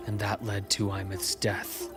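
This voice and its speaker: Measured, reassuring male comrade played by an actor over music. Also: lowish voice, monotone, natural voice. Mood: serious. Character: calm, wise male comrade, reassuring old friend of the hero. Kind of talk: video game story dialogue acting. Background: game background music.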